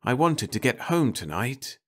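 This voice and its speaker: A man's voice: mid-range voice, sing-song, a little slow, voice pushed up. A man voicing a tired, fussy, wistful woman traveller in an audiobook story, with a weary, complaining and vague manner. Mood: tired, critical. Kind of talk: audiobook character dialogue.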